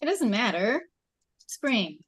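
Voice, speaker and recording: A woman plays a sceptical teenager in a script read, teasing and judgmental. The voice is a little high and the words come slowly. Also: sing-song, a bit bright, husky, heavily acted. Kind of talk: table read of a film script.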